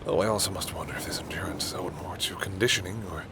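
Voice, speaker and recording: Deep-voiced smug vampire predator played by a man. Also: quick pace, background noise. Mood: musing, predatory. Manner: condescending, commanding, breathy asides to himself. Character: confident male vampire predator, smug and coolly menacing. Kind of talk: intimate audio roleplay, acted monologue to the listener.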